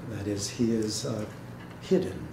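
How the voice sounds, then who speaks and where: A man giving a dramatic literary reading and talk to an audience, speaking in a measured way with pauses. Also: fairly low pitch, lively intonation. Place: indoor hall, seated before an audience. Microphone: microphone on a stand, recorded by a distant camera. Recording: echoey room, noisy background.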